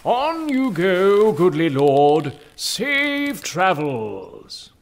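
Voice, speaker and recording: An actor playing a humble, pious old friar who preaches in mock-archaic speech in a comic audio drama. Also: male voice, sits high, huge pitch swings, very slow, vocal fry, heavily acted. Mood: sanctimonious.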